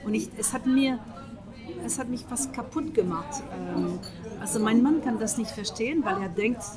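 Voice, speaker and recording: A middle-aged woman from France speaking German with a French accent, often searching for words in a casual breakfast conversation, with many pauses and fillers. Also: on the high side, a crowd in the background. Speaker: guest. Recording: breakfast table with a group chatting in the background.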